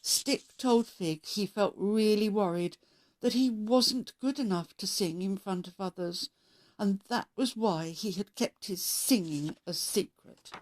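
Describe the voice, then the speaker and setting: A woman narrating a children's story as a read-aloud host, expressive and measured in her delivery. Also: mid-range voice.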